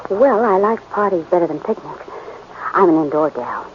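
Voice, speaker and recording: An actress playing a young, affectionate, anxious sweetheart and accomplice with an American accent in a 1940s radio detective drama, coaxing and teasing. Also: female voice, lowish voice, expressive, moderate pace, close to an everyday voice. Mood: playful, nervous. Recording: dull, noisy 1940s radio recording.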